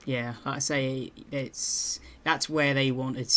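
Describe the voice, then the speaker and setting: British male co-host, monotone, steady mid pitch, conversational. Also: slow pace. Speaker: podcast co-host. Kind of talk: casual wrestling podcast chat.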